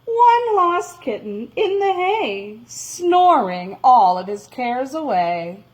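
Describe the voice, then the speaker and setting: Slow, animated woman reading a picture book. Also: sits high, a little hiss or hum, low-quality recording. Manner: dramatic, expressive, with drawn-out emphasis. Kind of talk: animated read-aloud of a children's story.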